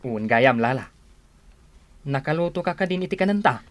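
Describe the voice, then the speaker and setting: A woman's voice: extremely low pitch, expressive, normal speed. A woman voicing a boastful, carefree, muscle-proud young husband in a radio comedy drama. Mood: cheerful.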